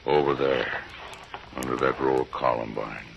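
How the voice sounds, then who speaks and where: An actor playing a gloomy, wary frontier homesteader in an old radio western, terse and heavy, with a deep gravelly voice. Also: male voice, fairly even intonation, moderate pace. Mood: broken. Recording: noisy, dull old radio recording.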